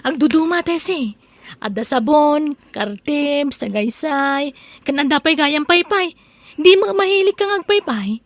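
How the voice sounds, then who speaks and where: A woman playing a chatty, pushy peddler and nosy neighbour who flatters and teases, in an Ilocano comedy radio drama. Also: sits high, a little slow, dry recording. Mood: wheedling. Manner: wheedling, teasing, exclaiming.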